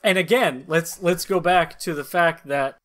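A man's voice: on the high side, a little slow, a bit bright. A man in his thirties or forties hosting a comedy podcast, bantering and reading narration in mock-dramatic style. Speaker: podcast host. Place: small room at home, video call.

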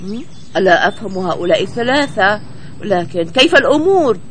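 A friendly young male cartoon bird with a high voice. He is puzzled yet caring, exclaims expressively and speaks clearly over faint background noise. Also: sing-song, fairly quick, fairly bright tone. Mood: cheerful, curious. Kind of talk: Arabic children's cartoon dubbing.

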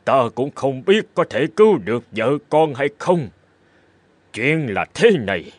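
A man playing a burly old fisherman father, anxious and regretful, in an animated fairy tale. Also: mid-range voice, measured pace. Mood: grave, regretful.

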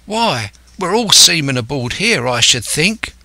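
A man's voice: fairly high pitch, big pitch swings, measured pace, pushed and bright, no room sound, voice pushed up, exaggerated voice. A man voicing an eager, easily swayed young sailor lad with earnest, youthful speech. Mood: protesting.

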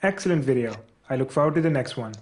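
A man's voice: medium pitch, lively intonation, quick pace, natural voice. A man reading out anonymous online comments in a talk clip, played from an archive recording.

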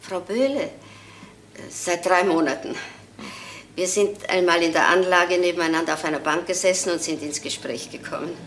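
A wistful older woman in a crime drama, played by an actress, with a fairly low voice. She speaks politely and haltingly, with quiet resignation, over a slightly noisy old soundtrack. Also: natural voice. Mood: guarded, polite. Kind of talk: German crime drama acting.

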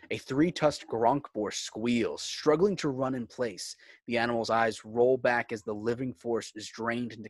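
A man's voice: lowish voice, dry recording. A man working as narrator and host of a fan script reading. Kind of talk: reading screenplay directions, host outro. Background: music.

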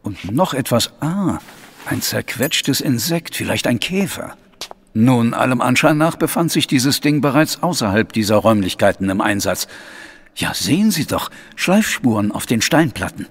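An actor playing a sceptical, grumbling police inspector in an audio drama, with a gravelly, husky voice and a blunt, doubting manner. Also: male voice, medium pitch, sing-song, normal speed. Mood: examining, muttering.

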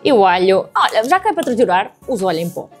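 A woman's voice: medium pitch, big pitch swings, fast, faint music behind. A young woman with a European Portuguese accent, chatting casually to viewers as she cooks in her home kitchen. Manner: chatty, addresses viewers directly while cooking. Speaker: cooking vlogger. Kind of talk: casual cooking tutorial.

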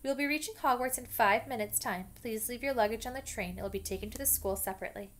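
A woman's voice: fairly high pitch, quick pace, a bit bright, voice pushed up, natural voice. Formal train announcer, read by a woman.

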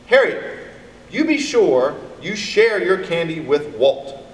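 A man's voice: fairly high pitch, big pitch swings, slow pace. A man voicing a firm but proud mother dog from a storybook, speaking in an instructing, parental way. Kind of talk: storybook character voice. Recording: echoey, distant mic, background noise.

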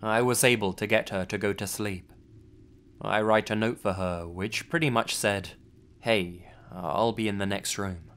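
A man's voice: fairly low pitch, fairly even intonation, a little slow, a bit bright. A man narrating a true scary story in the first person, with tense, dramatic storytelling.

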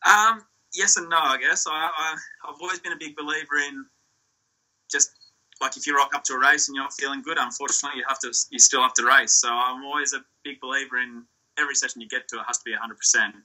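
Young Australian male athlete, bright and sharp tone, easygoing rambling answers, laptop-mic video call sound. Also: mid-range voice, quick pace, rough recording. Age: young adult. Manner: relaxed, rambling, with restarts and fillers. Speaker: surf ski champion guest. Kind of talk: casual interview answers. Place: small room indoors. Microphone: laptop or phone on a video call.

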